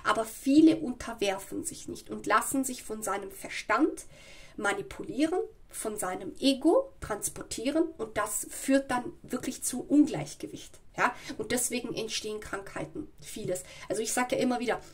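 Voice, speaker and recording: Husky-voiced woman giving a tarot reading. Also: a little high. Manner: slow, searching and explanatory, with frequent "ja" and "okay". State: slight cold. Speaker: tarot reader. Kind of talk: spoken tarot reading to viewers. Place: home room with a tarot table.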